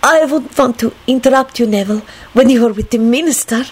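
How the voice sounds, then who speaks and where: A woman's voice: a little high, fairly quick, husky, voice pushed up. A woman voicing an earnest, polite, ambitious young woman in a story reading, with careful formal phrasing. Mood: flustered.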